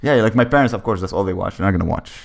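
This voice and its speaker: A man from Lebanon, a comedian guest, telling stories in casual, animated, informal chat. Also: lowish voice, racing, creaky.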